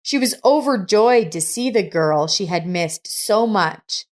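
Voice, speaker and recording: A woman reading a scripted story as narrator, in a measured, deliberate manner. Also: medium pitch, animated.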